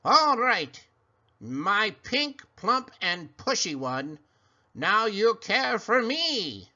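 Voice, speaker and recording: A man voicing a small, bossy female sand creature who cackles and speaks slowly and commandingly. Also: high-pitched, very animated, pushed and bright, pitched above the performer's own voice, heavily acted. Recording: dull lo-fi sound.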